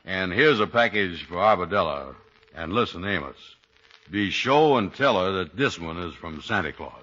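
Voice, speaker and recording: An actor playing a slow, good-natured, unschooled man in 1940s radio comedy, with a folksy, ungrammatical drawl. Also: low voice, animated, sharp tone, exaggerated voice. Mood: cheerful. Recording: dull old radio recording.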